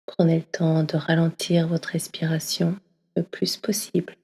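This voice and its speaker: A woman leading a guided yoga nidra session, speaking slowly and softly, often slipping into a half-whisper. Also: low voice, little pitch movement, breathy edge. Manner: slow, soft, often half-whispered delivery. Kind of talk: guided meditation. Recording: long reverb as in a hall.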